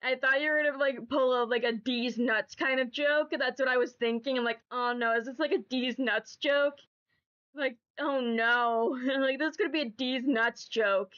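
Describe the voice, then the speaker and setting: A woman's voice: high voice, steady tone, normal speed, a bit bright, muffled recording. A woman streamer with a stuffy nose from allergies, chatting casually and rambling to her chat. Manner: rambling, chatty, repeats herself.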